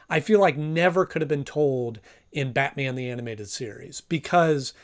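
A man in his thirties giving a casual review to camera as host of a video. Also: fairly high pitch. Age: 30s. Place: small home room, indoors.

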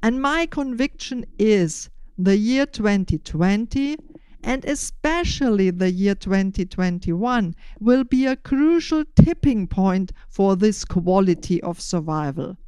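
An older woman with a German accent speaking deliberately and in measured phrases as a podcast host, giving prepared interview answers. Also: medium pitch, lively intonation, darkish tone, smooth, no echo.

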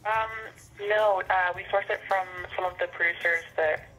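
A woman's voice: lowish voice, fairly even intonation, normal speed, fairly bright tone, soft background music. A young woman working at a coffee shop, chatting politely and a little hesitantly with a customer over the telephone. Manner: polite, good-humoured, hesitant. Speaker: coffee shop employee answering the phone. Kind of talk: casual customer service phone chat. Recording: phone call played over speakerphone.

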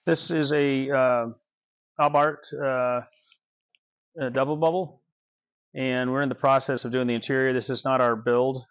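A man in his fifties giving a casual walking tour of his car restoration workshop, relaxed and rambling with many fillers, recorded on a small clip-on mic. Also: medium pitch, measured pace, long pauses, clean tone, dull audio.

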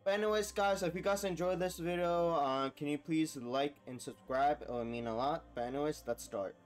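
A man's voice: a little high, moderate pace, faint music behind. A young man hosting a gaming reaction video, talking casually in an informal, slangy, enthusiastic way.

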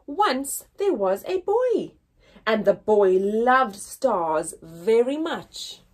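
Young female storyteller for a kids' read-aloud, high animated voice with a touch of husk, dramatic emphasis and pauses. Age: young adult. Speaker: children's story reader. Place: indoors, small room with painted backdrop.

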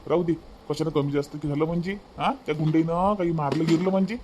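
Guy acting worried village family man, cartoon comedy, high voice, varhadi accent, repeats himself emphatically. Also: steady tone, fast talker, darkish tone, a little hiss or hum, lightly acted. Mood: cautious. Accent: Varhadi Marathi, Vidarbha. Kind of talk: comedy cartoon dialogue in rural dialect.

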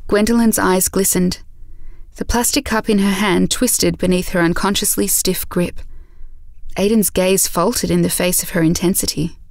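A woman reads scripted narration for an audio drama. She tells the story in a measured way at a middle pitch, with a dry, studio-close sound. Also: fairly even intonation, creaky, dry recording.

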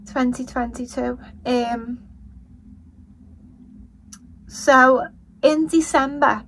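A woman with a Liverpool accent and a croaky voice, giving blunt, indignant commentary on a news story as a vlogger. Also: sits high, a bit bright, slight background noise, low-quality recording. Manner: blunt and indignant, swears when angry. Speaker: true-crime commentary vlogger. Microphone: voice-over over still images.

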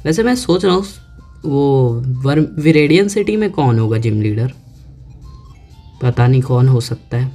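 A man giving casual let's-play commentary, chatting to himself and reading on-screen text aloud slowly. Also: on the high side, a little mellow. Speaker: gaming YouTuber. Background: faint game music.

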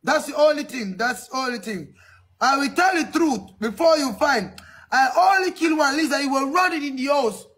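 A man's voice: very high-pitched, lively intonation, normal speed, a bit bright. A man doing a squealing, boastful applicant. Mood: wailing. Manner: broken English, wailing, indignant boasting. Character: excitable male visa applicant, boastful.

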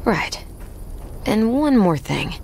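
An actress playing a bold, level-headed Western adventuress in a video game, firm and confident. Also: female voice, medium pitch, sing-song, slow pace, vocal fry, a little mellow, a little hiss or hum, exaggerated voice.

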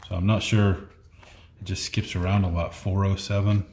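Low flat-voiced man reading comic issues. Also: moderate pace. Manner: muttering, reading out issue numbers. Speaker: comic collection unboxing host. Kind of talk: casual commentary while sorting comics. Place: indoor room at a table. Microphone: fixed camera across the table. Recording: echoey room, faint noise.

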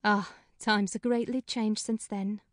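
A man's voice: high-pitched, flat delivery, a bit bright, no echo, clean studio sound, pitched above the performer's own voice. Man putting on a chatty female housekeeper. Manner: brisk, talkative, plain-spoken. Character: chatty middle-aged female housekeeper, homely, frank. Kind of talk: audiobook character voice.

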